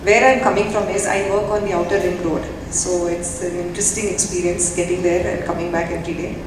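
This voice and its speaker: Chatty woman in a booming hall. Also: brisk, noisy background. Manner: lively, chatty, with fillers. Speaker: panel speaker from industry. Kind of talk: public remarks at a panel. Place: large event hall. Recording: distant voice in a reverberant hall.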